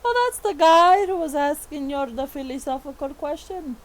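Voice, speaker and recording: A woman chats casually while playing a tabletop game, giggling often and using loose slang. Her voice is a little high. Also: moderate pace, slight background noise. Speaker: player.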